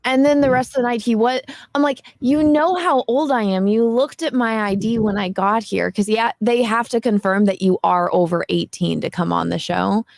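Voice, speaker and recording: A woman's voice: on the high side, moderate pace, creaky, few pauses, no room sound, clean studio sound. A woman in her twenties, a guest on a casual panel chat, telling a story and quoting others as she goes, often laughing. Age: 20s.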